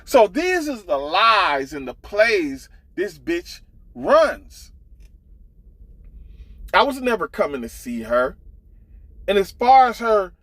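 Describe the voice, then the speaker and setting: Guy doing a slow gossip rant online, super animated pitch swings, cusses a lot, fed up and blunt. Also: no echo, low-quality recording. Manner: blunt, profane, exasperated. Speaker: online storyteller and commentator.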